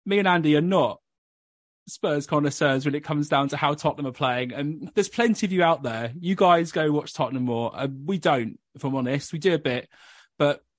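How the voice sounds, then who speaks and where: A man's voice: a little high, moderate pace, dry recording, studio quality. A man hosting a football podcast, chatting casually with blunt, pessimistic banter. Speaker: podcast host.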